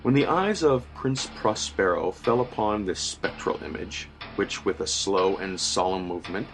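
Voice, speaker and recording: A man giving a dramatic, measured and formal scripted narration of a gothic horror story. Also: low-pitched, rough recording. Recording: music bed under narration. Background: background music.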